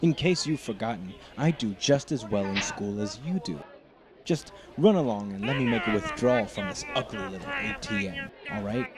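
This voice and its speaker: A man plays a cocky teenage bully with a lowish voice, smug and taunting. It is audio drama acting set against clear outdoor crowd noise. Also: animated, normal speed, natural voice. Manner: smug, mocking. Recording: outdoor crowd ambience behind voice.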